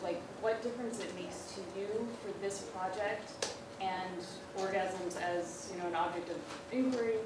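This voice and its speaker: A woman informally asking an academic question from the audience, tentatively circling her point. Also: medium pitch. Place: large lecture room, from the audience. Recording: very echoey, loud noise, distant pickup.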